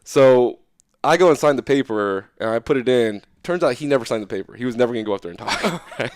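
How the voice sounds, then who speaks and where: A young man talking casually as an interview guest, animated and loose, often checking in with "right?". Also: medium pitch, quick pace, no room sound, studio quality.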